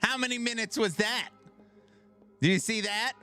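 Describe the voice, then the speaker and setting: A man's voice: high-pitched, moderate pace, bright, forceful tone, faint music behind. A man streaming live, joking and bantering with his chat in a mock-defensive way. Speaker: streamer reacting to a video.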